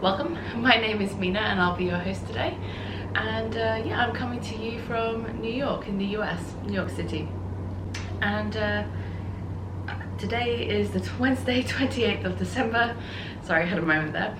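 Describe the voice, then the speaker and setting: Hoarse, chatty woman podcaster. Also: mid-range voice, normal speed. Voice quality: croaky. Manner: rambling, laughs often, many ums. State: hoarse, sniffly with a sore throat. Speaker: podcast host. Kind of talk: casual chatty video podcast. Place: home, cats nearby. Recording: roomy echo with noisy ambience.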